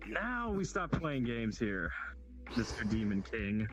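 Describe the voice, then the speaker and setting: A man's voice: mid-range voice, sing-song, slow pace. A man improvising a combat roleplay over online voice chat, narrating his own moves. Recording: muffled narrow-band voice chat, faint music.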